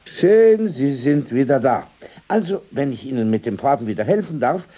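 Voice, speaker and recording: An actor playing an older, pedantic, courteous gentleman in a German radio play, speaking in short, emphatic phrases. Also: medium pitch, lively intonation, dull audio, lightly acted. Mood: courteous, musing. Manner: halting short phrases, formal politeness, emphatic. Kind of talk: radio play dialogue and storytelling.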